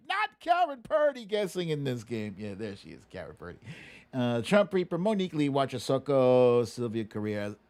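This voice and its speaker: A middle-aged man hosting a live stream, chatting casually and playfully as he runs a guessing game and reads out names. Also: mid-range voice. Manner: playful, hums and recites lyrics. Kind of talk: casual chat, running a song guessing game and reading out names.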